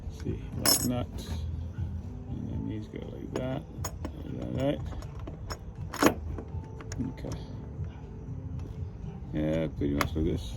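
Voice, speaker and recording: A man's voice: mid-range voice, expressive, slow pace, pauses often, over loud music. A man casually thinking aloud as he demonstrates DIY hardware assembly, tentative and checking himself as he goes. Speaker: DIY van build vlogger. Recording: clear ambient noise, hardware clinking.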